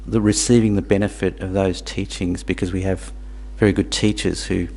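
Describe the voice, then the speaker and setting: A man born in Tanzania, teaching Buddhism to a small group in a halting, repetitive way, with questions for the audience. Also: deep voice, level tone, vocal fry, darkish tone, low-quality recording. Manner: halting, repeats words, asks the audience questions. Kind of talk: dharma talk to a small group.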